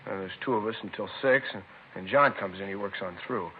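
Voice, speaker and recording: An actor playing a chatty, put-out store manager, a robbery witness who talks in colloquial fragments, in naturalistic 1950s radio drama style. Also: male voice, on the low side, a little fast, natural voice. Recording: lo-fi noisy 1950s radio recording.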